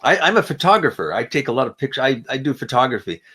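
Lively guy tutor, pitch goes up and down, casual chat. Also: quick pace, slightly rough. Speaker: teacher, conversation partner. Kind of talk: casual chat, asking questions.

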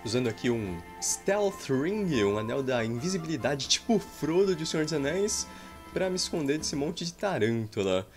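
A man's voice: on the high side, very animated, normal speed. A Brazilian man in his thirties giving jokey commentary over his own gameplay from a small room at home, exclaiming at what happens on screen. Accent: Brazilian Portuguese. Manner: jokey, exclaims and sighs at game events. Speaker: gameplay streamer. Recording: faint game music behind.

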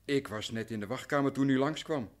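An actor playing a cautious, worn-down merchant in a radio drama, explaining things formally and carefully. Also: male voice, mid-range voice, fairly quick, close to an everyday voice. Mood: weary, explanatory. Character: cautious, anxious, worn-down male grain merchant.

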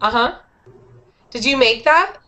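A woman in her thirties speaks on a headset mic from a small room, running an online English class. Her voice sits a little high, and she keeps things moving with quick, encouraging praise. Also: lively intonation, sharp tone. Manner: encouraging, repeats short praise. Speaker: English teacher hosting a group video call. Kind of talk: friendly class moderation.

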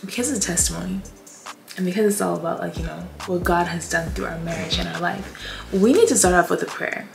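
A woman's voice: fairly low pitch, quick pace, gravelly, music in the background. A woman in her twenties, host of her channel, chatting casually and animatedly with her husband about how they met, in a small room at home. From Trinidad, born in Montreal. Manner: animated, talks with her hands. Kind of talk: casual storytime chat.

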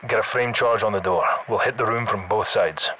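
Casting: commanding Scottish special forces soldier for a shooter game, forceful clipped delivery, faint noise behind. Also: male voice, lowish voice, brisk, rough recording. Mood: brisk, commanding. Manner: clipped, urgent orders. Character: commanding male special forces soldier, terse, battle-hardened squad leader. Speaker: squad leader in a military shooter game. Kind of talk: video game battle chatter, military radio commands.

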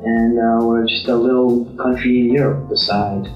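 A young man from Kosovo speaks in an easygoing, middle-pitched voice. He sounds a little distant in an echoey studio, with music clearly behind. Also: level tone, normal speed, dull audio. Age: young adult. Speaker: university student. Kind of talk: casual conversation with a stranger. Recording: roomy studio, a bit off-mic, with music.

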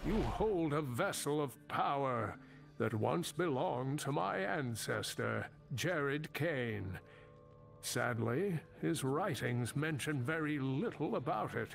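An older actor playing a grave, weary old scholar and mentor in a video game, speaking in a solemn, halting way. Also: male voice, mid-range voice, lively intonation, gravelly, soft background music. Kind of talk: dramatic video game dialogue.

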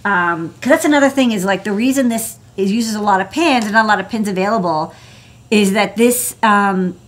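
A woman's voice: medium pitch, moderate pace. Woman, American accent, electronics engineer, casual livestream talk, informal, rambling, many asides. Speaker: electronics engineer presenting her work. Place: indoor desk workspace.

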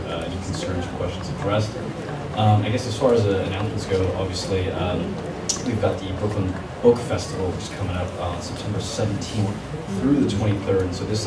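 A young man giving an informal, halting announcement as a government liaison. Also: low-pitched. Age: young adult. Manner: halting, hedging. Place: community meeting room, indoors. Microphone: handheld microphone, recorded by far camera. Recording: echoey room, distant, loud background noise.

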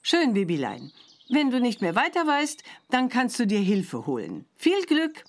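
A woman voicing a kindly, slightly flustered witch mother in a cartoon game, explaining things in an expressive, motherly way. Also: fairly high pitch, unhurried, heavily acted.

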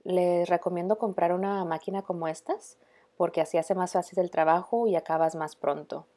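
A woman's voice: on the low side, fairly even intonation, moderate pace, mellow. A woman in her thirties casually narrating a home DIY vlog, dropping in the odd English word. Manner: casual, mixes in English words.

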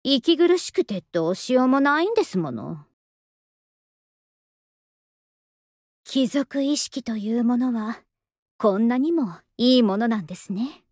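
Young female anime game soldier, high voice, lazy, polite, softly affectionate, lively intonation. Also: moderate pace, no echo, clean studio sound. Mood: languid, calm. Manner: polite, languid, understated delivery. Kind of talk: Japanese anime game voice acting.

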